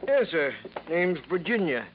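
An actor playing a folksy, nosy but helpful apartment house manager in a 1950s radio drama, chatty and colloquial. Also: male voice, on the high side, sing-song, measured pace. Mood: obliging, chatty. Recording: muffled, noisy 1950s radio recording.

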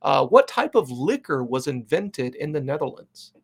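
A man's voice: mid-range voice, very animated, moderate pace, vocal fry, breathy edge. A man hosting a sports fan podcast, chatting in an upbeat, loose way with plenty of fillers. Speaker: podcast host. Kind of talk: casual interview chat.

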